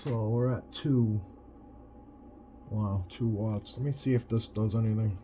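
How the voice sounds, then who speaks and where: A man's voice: lowish voice, fairly even intonation, unhurried, warm tone. A man thinking aloud in casual hands-on commentary, muttering to himself as he tinkers. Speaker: radio hobbyist doing bench tests. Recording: noisy, with clear background noise.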